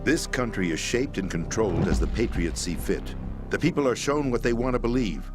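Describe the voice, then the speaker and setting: An American actor playing a bitter, ambitious middle-aged president in a video game, theatrical, world-weary and cynical. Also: male voice, lowish voice, animated, brisk, close to an everyday voice. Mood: cynical lecturing. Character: bitter, ambitious male president turned power-hungry conspirator. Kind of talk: dramatic video game voice acting. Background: music.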